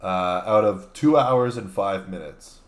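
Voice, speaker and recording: A man's voice: sits low, measured pace. A man co-hosting a show, talking casually. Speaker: show co-host running the videos. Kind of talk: casual chat. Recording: echoey room sound.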